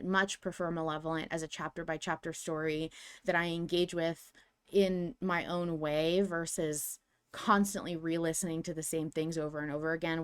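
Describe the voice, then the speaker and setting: A young woman with a lowish voice talks casually into a home desk mic. She is chatty and quick to restart her own sentences, with bursts of laughter between thoughts. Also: smooth. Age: young adult. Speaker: podcast co-host. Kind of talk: casual podcast chat.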